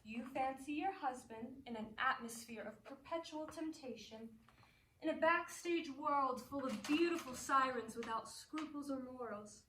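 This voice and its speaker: A wry, self-assured stage actress , played by a woman with a somewhat high voice, teasing and poised. Her lines carry a roomy echo and sound caught from some distance off, with a faint hiss behind. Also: moderate pace. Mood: amused. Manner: poised, wry, gently mocking. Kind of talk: stage play acting.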